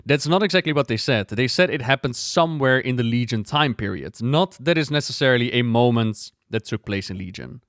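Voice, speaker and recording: A man giving casual, excited and conversational reaction commentary as a gaming lore creator. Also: medium pitch, a little fast, few pauses, no room sound, studio quality.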